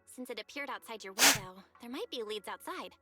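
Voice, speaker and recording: Woman as a high-voiced easygoing young investigator. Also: expressive, fairly quick, close to an everyday voice. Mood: practical. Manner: casual, reassuring. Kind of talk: video game voice acting. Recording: game music behind. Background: game music.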